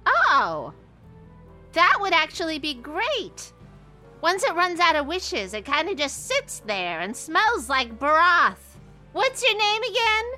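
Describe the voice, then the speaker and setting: Cranky old witch, high-pitched, slow, scolding, comedy radio drama, music behind. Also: sing-song, bright, forceful tone, full character voice. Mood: matter-of-fact. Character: cranky old witch woman, vengeful, foul-mouthed homeowner. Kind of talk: comedy horror radio play acting.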